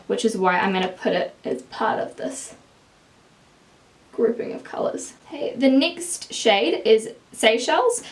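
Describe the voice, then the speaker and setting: A young woman with a strong New Zealand accent, chatting casually to camera as a beauty vlogger, walking through swatches of eyeshadows in a small room. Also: medium pitch. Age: young adult. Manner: chatty, explains product swatches while holding up palettes. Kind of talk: casual makeup review to camera. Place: indoors, small room with plain wall.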